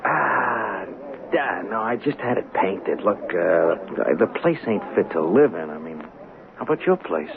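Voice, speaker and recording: An actor playing a shifty small-time hustler in a radio drama, talking slangy, hesitant and streetwise. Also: male voice, fairly low pitch, lively intonation, unhurried, vocal fry. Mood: smooth, impatient. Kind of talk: radio drama acting with first-person narration. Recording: tinny 1970s radio broadcast, crowd behind.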